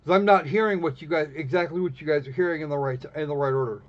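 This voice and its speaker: A man giving casual, snarky live commentary on a game, blunt and halting, often restarting his phrases. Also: fairly high pitch, fairly even intonation. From United States. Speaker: live streamer playing a visual novel. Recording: dull, lo-fi stream audio.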